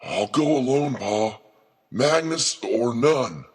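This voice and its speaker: A man's voice: medium pitch, lively intonation, slow, breathy edge. A man playing a stubborn, earnest boy who is trying to be a man, in an audio drama. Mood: defiant.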